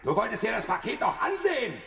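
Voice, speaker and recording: A man's voice: high voice, wildly swooping pitch, quick pace, creaky, roomy sound, very noisy, full character voice. An actor playing a defiant, coarse man who taunts police in a radio drama. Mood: jeering, furious. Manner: jeering, provocative, coarse.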